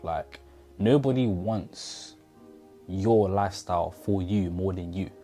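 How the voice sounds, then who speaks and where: A man's voice: sits low, slow pace, dark tone, soft background music. A man in his twenties giving an earnest motivational talk to camera in his bedroom, speaking straight to the viewer on a lapel mic. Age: 20s. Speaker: motivational vlogger. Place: bedroom indoors. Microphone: clip-on lapel mic.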